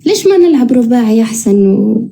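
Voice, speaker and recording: A woman's voice: a little high, expressive, a little fast, vocal fry, warm tone. A woman chatting casually as a guest on a livestream. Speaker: live stream guest.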